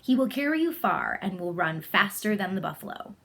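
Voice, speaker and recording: A benevolent spirit rider of the sky, played by a woman reading aloud. The storybook figure speaks solemnly and with measure, bestowing a gift and gentle counsel. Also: medium pitch, big pitch swings, brisk, vocal fry. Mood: solemn, reassuring.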